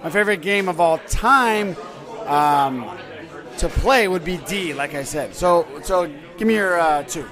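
A man's voice: sits high, animated, measured pace. A man hosting a casual podcast chat, asking questions in an enthusiastic manner. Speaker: podcast host. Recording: busy crowd noise around the voices.

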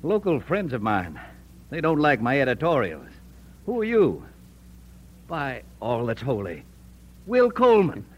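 An actor playing a wry, defiant American frontier editor with a folksy manner in an old radio Western. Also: male voice, mid-range voice, huge pitch swings, unhurried, long pauses, highs cut off. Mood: wry, excited. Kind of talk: old-time radio Western drama acting.

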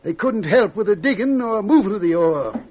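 An actor playing a dry, needling newspaperman in an old radio western, with a creaky voice. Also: high-pitched, lively intonation, normal speed. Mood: firm, satisfied. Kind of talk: 1940s radio western acting. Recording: dull old radio recording.

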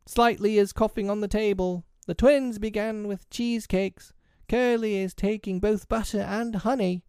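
A man acts out squabbling storybook children, eager little tattletales. The voice jumps up high and turns whiny and complaining, in a very dry recording. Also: fairly even intonation, moderate pace, a little mellow, clean tone, no echo. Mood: tattling.

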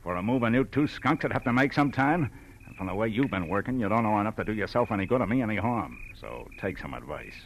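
An actor playing a threatening, bitter small-time crook with an American accent and clipped slangy talk, in the style of 1940s radio crime drama. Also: male voice, lowish voice, expressive, quick pace, dull audio, lightly acted. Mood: menacing. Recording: vintage radio recording, faint noise.

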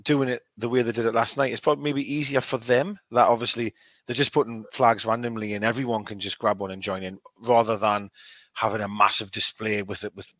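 A man's voice: on the low side, steady tone, a little fast, fairly bright tone, dry recording. A man with a Geordie accent talking bluntly and frankly as a podcast co-host. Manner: blunt, frank, coarse-spoken. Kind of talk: casual chat.

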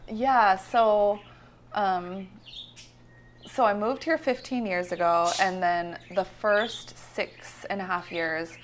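A woman's voice: medium pitch, steady tone, slow, slight background noise. A woman from California talking casually about her life and art in an interview, rambling with many fillers and restarts. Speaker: visionary artist guest. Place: her home.

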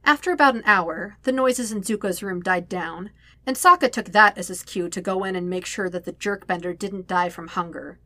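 A woman's voice: mid-range voice, bright, forceful tone, dry recording. A woman reading scripted fiction aloud as a narrator, expressively and conversationally, with wry emphasis. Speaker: podfic narrator.

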